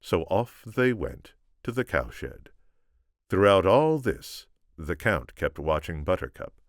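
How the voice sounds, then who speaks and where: A man reading an audiobook, telling the story in a measured, dramatic way. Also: deep voice, big pitch swings, dry recording, studio quality. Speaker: audiobook reader. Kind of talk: scripted story narration with informal asides.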